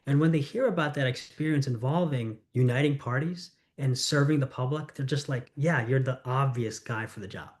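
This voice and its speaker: Man, middle-aged, from the US, candidate, earnest, storytelling, mid pitch. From United States. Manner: earnest, storytelling, quick asides. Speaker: congressional candidate. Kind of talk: interview answers.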